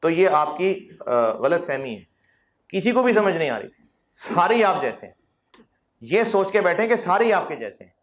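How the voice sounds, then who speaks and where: A male lecturer addresses his students in a classroom. His voice sits a little high, and he talks in a relaxed, conversational way, coaxing the class and teasing them with mock quotes. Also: normal speed. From Pakistan. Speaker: accounting lecturer.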